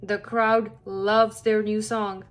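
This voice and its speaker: A woman reading a picture book aloud to young children, with expressive, deliberate phrasing. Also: medium pitch. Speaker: children's story reader.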